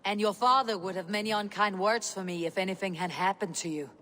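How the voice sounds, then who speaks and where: An actress playing a viking clan leader who speaks in a measured, authoritative way. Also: female voice, medium pitch, close to an everyday voice. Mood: commanding. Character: female viking clan leader, calm and commanding. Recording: game cutscene over soft music.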